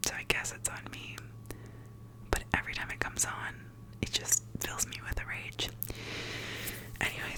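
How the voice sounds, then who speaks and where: A young woman whispering in her apartment, chatting casually as she tries out makeup, with lots of "like" and the odd swear word. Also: rough recording. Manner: whispers the whole time. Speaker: ASMR vlogger. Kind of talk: whispered ASMR makeup chat.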